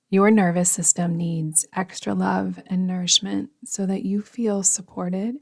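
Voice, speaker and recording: A woman guiding a yoga nidra meditation in a soothing, gentle, deliberate manner, often trailing off into a whisper. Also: medium pitch, gravelly. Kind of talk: guided meditation narration.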